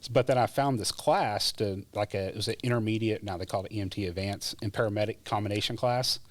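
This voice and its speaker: Low-voiced man telling his story. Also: quick pace. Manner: relaxed, chatty, laughs often, many fillers. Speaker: guest, former EMT. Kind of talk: casual interview storytelling.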